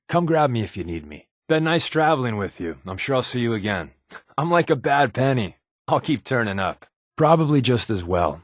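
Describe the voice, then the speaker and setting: A man's voice: lowish voice, no room sound, studio quality. A man with an American accent playing a wry, evasive spy companion in a video game, talking conversationally with hesitant asides. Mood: casual, wry.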